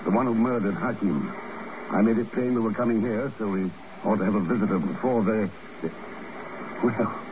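An actor playing a cool, unflappable secret agent in a 1950s radio drama, calm, dry and understated. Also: male voice, on the low side, moderate pace, natural voice. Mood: calm, probing. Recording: noisy muffled old radio recording.

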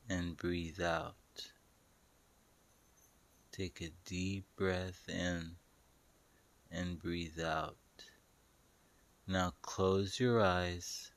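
A man narrating a guided meditation as a slow story, speaking deliberately in short, paused phrases and instructing the listener. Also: deep voice, no echo.

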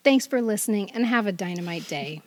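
A middle-aged woman hosting a casual podcast in a playful, animated and jokey manner. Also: mid-range voice, a little fast. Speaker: podcast co-host and quizmaster.

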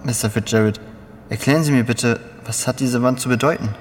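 A man's voice: mid-range voice, fairly even intonation, brisk, close to an everyday voice. An actor in a German audio drama playing a bewildered, anxious father who questions urgently and stammers. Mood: confused, upset. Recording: long reverb, faint noisy ambience.

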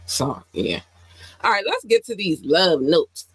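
A woman co-hosting a casual podcast, talking in an animated, playful way, with slang and phrases she repeats for effect. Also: lowish voice, measured pace, slightly rough, dry recording. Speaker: podcast co-host.

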